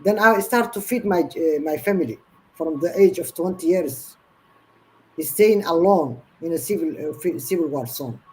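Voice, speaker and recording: A woman's voice: lowish voice, expressive, moderate pace, rough recording. A woman in her fifties from Somalia, a guest in an online interview, telling her story earnestly in long run-on sentences. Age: 50s.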